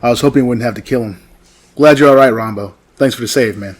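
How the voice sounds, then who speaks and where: A man's voice: medium pitch, quick pace, very noisy, lightly acted. A man acting a stern but loyal mercenary squad leader in a sci-fi audio drama. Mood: urgent concern.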